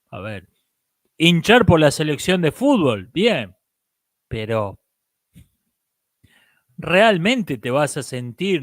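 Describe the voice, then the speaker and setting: Argentine male host, mid-pitched, emphatic casual opinion talk, dry close mic. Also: no room sound. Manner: emphatic, repeats himself, halting restarts. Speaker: livestream host answering viewer questions.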